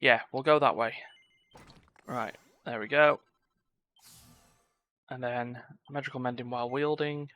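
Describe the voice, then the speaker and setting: A man's voice: medium pitch, fairly even intonation, unhurried, long pauses, sharp tone. A man giving live video game commentary, full of playful exclamations and muttered asides. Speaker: game streamer. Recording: game music behind the voice. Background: video game music.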